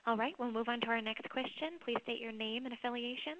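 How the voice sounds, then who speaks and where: A woman's voice: on the high side, fast talker, a bit bright, slight background noise. A woman moderating a conference call over the telephone, giving procedural announcements to callers. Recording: muffled telephone conference line.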